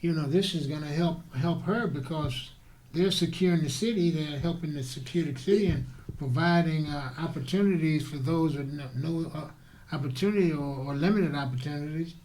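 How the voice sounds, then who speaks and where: A soft-spoken man interviewing a guest in casual conversation, often pausing and restarting. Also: on the high side, poor audio. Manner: soft-spoken, halting, frequent affirmations.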